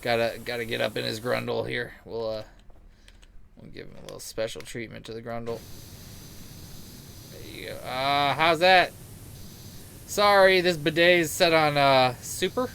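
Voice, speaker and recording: A man's voice: mid-range voice, normal speed, long pauses, a bit bright. Crude, jokey man over noticeable noise. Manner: crude, jokey, rambling, sweary; acts out quoted remarks. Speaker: game streamer. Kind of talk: casual gaming commentary and chat. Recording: clear background noise.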